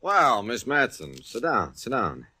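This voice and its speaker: An actor playing a smooth, placating insurance official in a 1940s radio drama. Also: male voice, medium pitch, wildly swooping pitch, measured pace, bright, forceful tone, faint music behind, exaggerated voice.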